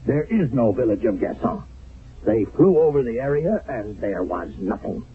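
An actor playing a stern, skeptical wartime army captain in a radio drama, commanding, clipped and sardonic. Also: male voice, medium pitch, very animated, normal speed, close to an everyday voice. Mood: angry, sardonic. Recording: narrow, phone-like vintage radio broadcast.

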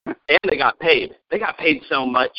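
A man co-hosting a podcast in casual chat, an animated storyteller who laughs often. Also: mid-range voice, normal speed, dry recording. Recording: dull lo-fi sound, dry.

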